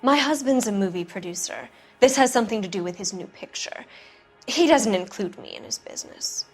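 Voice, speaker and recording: A woman's voice: mid-range voice, animated, a little fast, soft background music, natural voice. Game role: woman playing a proper, defensive society wife, shaken and indignant, score behind her. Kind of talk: dramatic video game acting.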